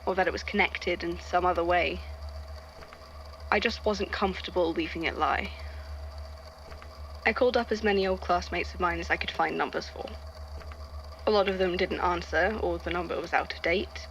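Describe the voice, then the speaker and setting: A woman playing a testy male archivist who reads eerie witness statements aloud in an audio drama, in a measured storytelling delivery. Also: fairly low pitch, fairly bright tone, dull audio, slight background noise.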